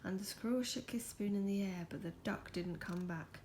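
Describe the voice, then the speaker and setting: A woman reading a picture book aloud in a soft ASMR style, gentle and coaxing, slipping into breathy whispers. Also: medium pitch, moderate pace, a little hiss or hum.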